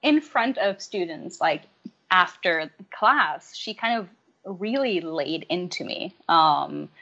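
A woman's voice: lowish voice, animated, slow, gravelly, sharp tone, lo-fi sound. Hesitant Norwegian woman, yoga teacher. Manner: reflective, many ums and hesitations. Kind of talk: casual podcast conversation.

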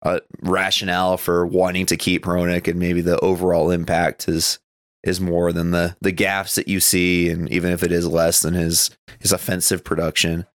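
A man's voice: sits low, monotone, moderate pace, no room sound, studio quality. A man co-hosting a podcast, chatting casually about sports in a rambling, conversational way. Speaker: podcast co-host.

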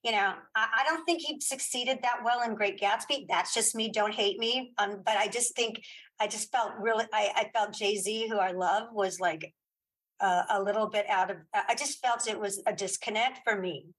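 A middle-aged woman chatting casually as an interview guest, giving opinions in halting, repeated phrases. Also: mid-range voice, a bit bright, slightly rough, poor audio. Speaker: guest, playwright and audio drama creator.

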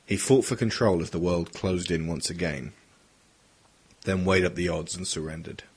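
A man with a husky voice reading dramatic scripted narration for an audio drama. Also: deep voice, moderate pace, darkish tone.

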